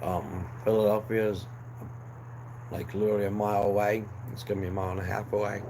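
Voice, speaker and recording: A man's voice: lowish voice, level tone, fast talker. A young man hosting a casual live chat, losing his voice and rambling along with fillers and restarts. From New Jersey, USA. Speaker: livestream host. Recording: clear background noise.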